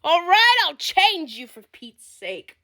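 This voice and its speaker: A woman's voice: extremely high pitch, big pitch swings, slow, pushed and bright, exaggerated voice. Woman voices short-tempered caretaker; exasperated and snappy.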